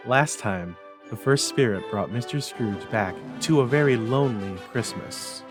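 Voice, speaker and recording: A man giving a theatrical, expressive dramatic reading as a storyteller and host. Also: mid-range voice, a little slow, over music. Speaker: library staff storyteller and host. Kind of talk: dramatic scripted narration.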